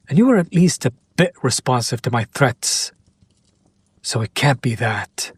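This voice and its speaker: Smug male demon played by a man, possessive and teasing, unhurried mid-range voice, close dry sound, intimate audio roleplay. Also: mid-range voice, no echo. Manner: slow, teasing, deliberate, with breathy pauses.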